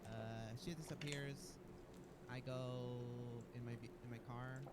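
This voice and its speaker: Stammering man over clear background noise. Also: mid-range voice, steady tone, measured pace. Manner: stammering, hesitant, repeats words. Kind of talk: improvised roleplay game chat on a livestream. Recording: clearly noisy livestream game audio.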